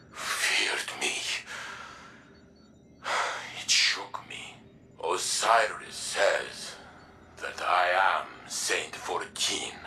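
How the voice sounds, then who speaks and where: An actor playing a weary, noble robot warrior in a video game, with a halting, solemn delivery. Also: male voice, on the low side, bright, forceful tone, slight background noise, heavily acted. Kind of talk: dramatic video game monologue.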